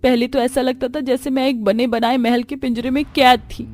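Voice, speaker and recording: A woman voicing a gentle, emotional young daughter-in-law in a cartoon story, sweet and earnest. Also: high voice, flat delivery, normal speed, voice pushed up, natural voice. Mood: tearful, resolute.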